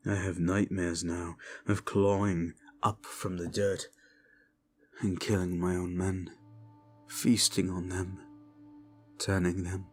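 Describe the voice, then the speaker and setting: Man acting as a low-voiced, solemn, haunted knight captain. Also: little pitch movement, soft background music. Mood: haunted, somber. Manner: solemn, measured, formal. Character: weary, haunted male knight captain at war. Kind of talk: fantasy audio drama acting.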